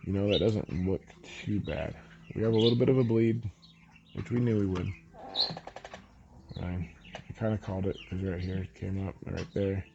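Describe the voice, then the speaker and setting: A man's voice: sits low, moderate pace, vocal fry, long pauses, muffled recording, background noise. A man with a stuffy, congested voice chatting casually with many ums while he paints a model and explains each step. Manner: relaxed, rambling with many ums. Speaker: miniature painting channel host.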